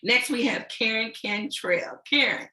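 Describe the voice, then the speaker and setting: A woman in her sixties hosting an online panel chat, with a booming, upbeat and encouraging way of talking over a video call. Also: measured pace, reverberant, a loud crowd. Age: 60s. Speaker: host.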